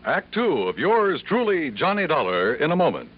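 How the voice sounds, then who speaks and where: A man announcing in booming 1950s radio style. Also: wildly swooping pitch, normal speed, faint music behind. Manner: booming, sing-song announcer delivery. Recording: dull old radio broadcast.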